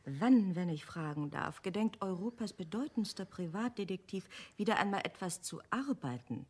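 An actress playing a witty, affectionate, teasing wife of a detective in a German radio play, with expressive delivery. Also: female voice, on the low side, normal speed, husky.

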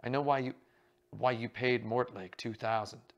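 A man's voice: mid-range voice, fairly even intonation, normal speed, lo-fi sound, natural voice. A man voicing a nervous, resentful male actor who hesitates and stammers as he talks. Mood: tense.

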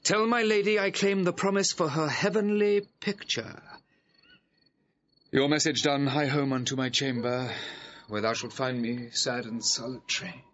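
An actor playing a haughty, impatient young gentleman master in classical verse drama, with a declamatory, commanding manner. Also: medium pitch, unhurried, lightly acted. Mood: commanding, earnest. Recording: dull, slightly noisy restored old recording.

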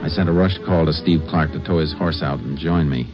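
An actor playing a stern, shrewd Texas Ranger with an American accent, dry and matter-of-fact, in an old-time radio drama. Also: male voice, very deep voice, fairly even intonation, fairly quick. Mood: grim narration. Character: stern, shrewd male Texas Ranger narrating his case. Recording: muffled vintage radio recording, music behind.

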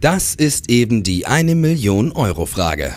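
A man's voice: mid-range voice, very animated, a little slow, a little mellow. A man in a crime radio play, playing a driven, probing police detective who also narrates his case. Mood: urgent, probing. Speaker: radio drama actor. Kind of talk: German crime radio play acting, with first-person narration.

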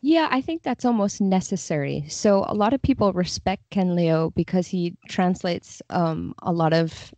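A woman's voice: lowish voice, animated, normal speed, few pauses, warm tone, no echo, muffled recording. A woman talking casually and thoughtfully as a guest translator in a podcast interview, explaining her views.

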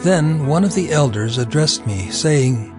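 A man narrating dramatized scripture in a solemn, measured storytelling manner. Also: fairly high pitch, lively intonation. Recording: music behind the voice.

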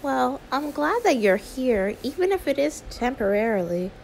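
A woman's voice: high-pitched, sing-song, moderate pace, creaky, a little mellow, slight background noise, exaggerated voice, low-quality recording. A woman voicing an anxious, sweet young female YouTuber in an audio roleplay, stammering, awkward and apologetic. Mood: sympathetic. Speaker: ASMR roleplay performer. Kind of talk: one-sided ASMR audio roleplay.

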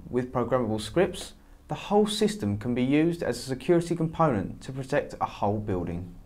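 A man's voice: on the high side, a little mellow. A British man in his twenties giving a rehearsed, scripted product review as a sales presenter. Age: 20s. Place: indoor studio at a desk. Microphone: lapel mic.

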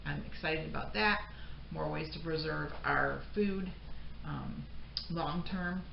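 Low, creaky-voiced American woman chatting. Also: takes it slowly. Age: middle-aged. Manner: rambling, unscripted, often breaking off mid-thought. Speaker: homestead vlogger. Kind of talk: casual chat to camera. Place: indoor pantry room with shelves of jars. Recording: dull, echoey room sound with faint noise.

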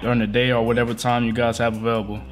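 A man's voice: medium pitch, flat delivery, very fast, noisy background. A young American man with a slangy way of talking, chatting casually and making prank calls as a vlog host. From Atlanta, United States. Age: young adult. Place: living room at home.

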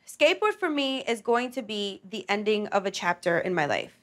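A woman's voice: mid-range voice, sing-song, normal speed, a bit bright. A young woman, a singer, talking casually and candidly about herself on a reality show. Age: young adult. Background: background music.

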